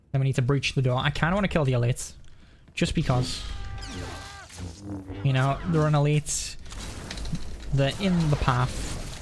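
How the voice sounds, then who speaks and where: A low-key, soft-spoken man giving casual livestream gameplay commentary, speaking right up close to the mic. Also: noisy background. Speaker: game streamer. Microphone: close desk boom mic, mouth right up against it.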